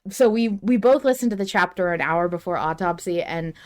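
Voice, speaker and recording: A woman's voice: on the low side, expressive, a little fast. Playful woman chatting as podcast co-host. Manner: playful, joking, informal slang. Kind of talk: casual chat.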